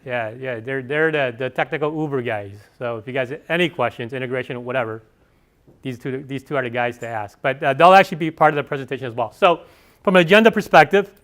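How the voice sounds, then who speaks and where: A man with a Chicago accent giving a fast, filler-heavy conference presentation and asking the audience questions. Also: medium pitch, fairly bright tone. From Chicago area. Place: conference session room.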